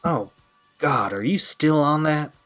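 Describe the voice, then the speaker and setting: A man's voice: a little high, expressive, slow, fairly bright tone, faint music behind. A man playing an easygoing, wry young guitarist friend, sheepish but good-humoured, in an audio drama. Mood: wry, exasperated. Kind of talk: amateur audio drama acting.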